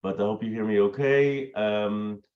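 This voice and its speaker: A croaky man with a cold introducing himself on a panel, courteous and halting. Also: lowish voice, dull audio. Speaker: sales director panelist.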